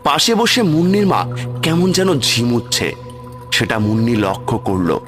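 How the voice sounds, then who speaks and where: A man's voice: mid-range voice, normal speed. A man narrating a scripted horror story as its storyteller. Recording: music bed under the voice. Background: music.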